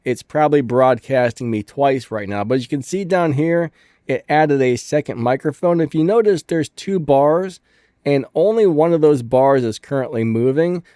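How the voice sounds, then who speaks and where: A man's voice: mid-range voice, animated, moderate pace, dark tone, dry recording, clean studio sound. A man hosting a casual tech tutorial, upbeat and chatty, with stuffed-up sinuses, recorded at home with a fan running. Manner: upbeat, chatty, says "ba-bam".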